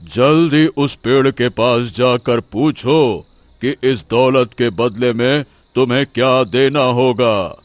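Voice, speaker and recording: A man playing a wise, concerned elderly village teacher in a cartoon story, advising gently with hesitant repetitions. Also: medium pitch, slow, lightly acted. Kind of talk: Hindi cartoon moral story acting.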